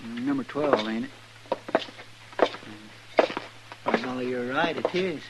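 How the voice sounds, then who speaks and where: A man's voice: medium pitch, takes it slowly, natural voice. Folksy western sidekick played by a man, noisy recording. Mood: alert. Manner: folksy, rustic phrasing. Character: folksy, deferential male western marshal's sidekick. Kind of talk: 1950s western radio drama acting. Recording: very noisy old radio recording.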